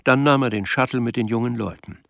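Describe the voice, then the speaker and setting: A man's voice: mid-range voice, quick pace, gravelly, fairly bright tone, dry recording, studio quality. A man acting as the observant, wry narrator of a German radio drama, reading scripted literary prose.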